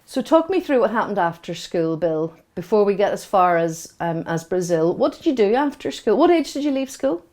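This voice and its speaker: A woman's voice: mid-range voice, sing-song, normal speed, few pauses, darkish tone. A woman, asking encouraging questions in an interview.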